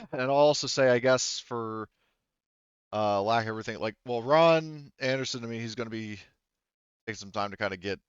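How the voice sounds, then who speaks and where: A man running a tabletop roleplaying game as game master, talking haltingly as he thinks aloud. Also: on the low side, clear, resonant tone, dry recording, highs cut off.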